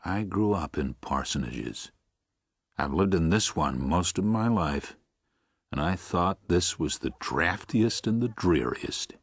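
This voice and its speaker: A man playing a gentle, reflective preacher of seventy-six in a first-person audiobook, with a measured, husky, aged voice. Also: low-pitched, expressive, dry recording, studio quality, full character voice. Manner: measured, tender, musing. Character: gentle, reflective 76-year-old Midwestern preacher writing to his son. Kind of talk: first-person audiobook narration with acted dialogue.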